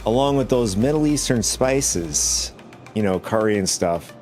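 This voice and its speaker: A man with a raspy voice from a cold, chatting casually with viewers while gaming on a stream, rambling with many restarts. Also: lowish voice, animated, unhurried, a little mellow, faint music behind.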